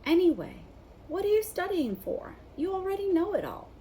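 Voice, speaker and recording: A woman voicing a blunt, excitable know-it-all schoolboy in a storybook, speaking emphatically. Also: extremely high pitch, normal speed, slight background noise, voice pushed up, heavily acted. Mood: exasperated.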